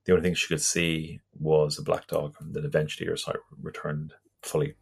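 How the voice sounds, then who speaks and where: A guest talking about folklore in a casual conversation, in a halting, thoughtful way with many restarts. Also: very deep voice, level tone, gravelly, a little mellow, husky.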